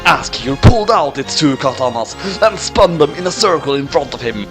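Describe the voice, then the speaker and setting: Lively male story reader over music. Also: on the high side, a little fast. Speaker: narrator reading a fan fiction aloud. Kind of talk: dramatic reading of an amateur action story. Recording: music playing behind the voice.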